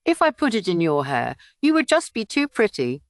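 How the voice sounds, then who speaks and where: A woman's voice: mid-range voice, huge pitch swings, moderate pace, no echo, clean studio sound, pitched above the performer's own voice. A woman voicing a blunt young female apothecary, guarded and stubborn, speaking in a terse, matter-of-fact way for an audiobook. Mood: quietly earnest.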